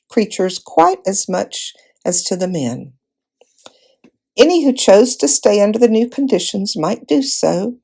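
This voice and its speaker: A woman reading a children's fantasy novel aloud as an expressive storybook narrator. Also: medium pitch, vocal fry. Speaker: volunteer storybook reader.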